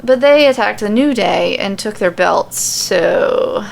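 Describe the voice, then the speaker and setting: A woman's voice: mid-range voice, lively intonation, takes it slowly, vocal fry, full character voice. A woman voicing a curious, decisive female leader of a fantasy quest. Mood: assertive, curious.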